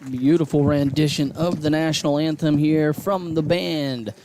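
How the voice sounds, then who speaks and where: A man calling high school football on local radio from a stadium press box, conversational and quick to agree with his partner. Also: fairly even intonation, darkish tone, a little hiss or hum. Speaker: radio play-by-play announcer.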